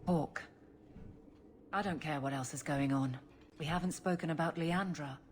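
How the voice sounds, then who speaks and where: An actress playing a frank, protective friend in a video game, direct and quietly caring. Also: female voice, low-pitched, normal speed, a little hiss or hum, close to an everyday voice. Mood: concerned. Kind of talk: dramatic video game acting.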